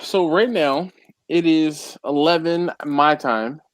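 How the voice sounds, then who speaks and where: A man's voice: fairly high pitch, slow pace, no echo. A man hosting a casual group live stream, teasing his co-host and reading viewer comments aloud. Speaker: livestream host.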